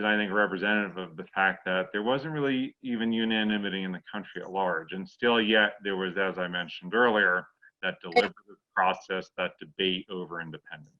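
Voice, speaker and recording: A man giving a halting, explanatory answer as a discussion guest, often repeating words as he goes. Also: on the low side, fairly even intonation, lo-fi sound. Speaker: guest author.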